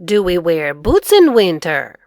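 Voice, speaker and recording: A middle-aged woman reading aloud to children as an English teacher, enunciating each phrase clearly. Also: lowish voice, sing-song, unhurried, a little mellow, dry recording, clean studio sound. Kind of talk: children's read-aloud lesson.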